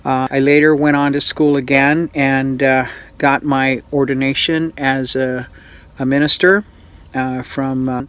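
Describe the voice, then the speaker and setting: A man in his sixties from Utah hosting a casual question-and-answer vlog, speaking in a folksy, unhurried way with frequent pauses and filler sounds. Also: medium pitch, level tone, fairly bright tone, clean tone. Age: 60s. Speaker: vlog host.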